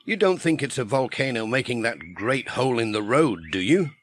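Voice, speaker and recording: A man's voice: mid-range voice, sing-song, normal speed. A man playing a friendly village postman in a children's audiobook, cheerfully musing aloud to himself and his cat. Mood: worried. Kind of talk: children's audiobook character acting.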